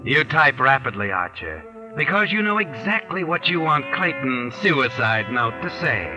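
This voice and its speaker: An actor playing a knowing, taunting narrator in a 1940s radio mystery, speaking with deliberate suspense. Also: male voice, a little high, close to an everyday voice, rough recording. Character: ominous, knowing male narrator addressing a murderer. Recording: vintage 1940s radio recording.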